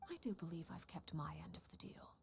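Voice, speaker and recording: A woman voicing a stern, icily composed head of an assassin household in a video game, speaking low and measured, often near a whisper. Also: vocal fry. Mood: coldly composed. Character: cold, stern mother figure called Father, head of an assassin household. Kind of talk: video game story dialogue.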